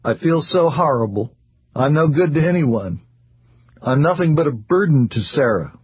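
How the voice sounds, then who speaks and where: A man's voice: mid-range voice, expressive, a little slow, husky. A man doing a dull, mumbling depressed husband. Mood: dull, plaintive. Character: depressed, timid, passive middle-aged husband.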